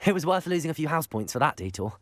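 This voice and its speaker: A man's voice: on the high side, very animated, fast. A man playing a young wizard student in a video game, polite, keen and composed, with an understated delivery. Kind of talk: video game character acting.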